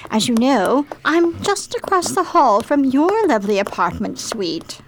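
A woman plays an affected, chic hostess in a Jazz Age radio play. Her voice is high and swoops with effusive charm, over noticeable background noise. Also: sing-song, measured pace, heavily acted, poor audio. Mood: gracious, coaxing. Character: chic, gushing 1920s society hostess, sly and sociable. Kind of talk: 1920s-set radio play acting.

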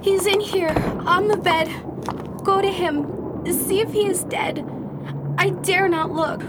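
Woman acting very high-voiced, frightened, pleading daughter. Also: slow. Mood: pleading, fearful. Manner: hesitant, breathless pleading, melodramatic. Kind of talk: old-fashioned radio drama acting. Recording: loud background noise in radio play.

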